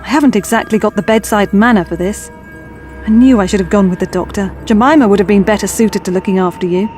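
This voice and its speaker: A woman's voice: mid-range voice, quick pace, a little mellow, faint music behind, close to an everyday voice. A woman playing an anxious, blunt, determined young woman in an audio drama. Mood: uneasy, wry. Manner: urgent, questioning, wry.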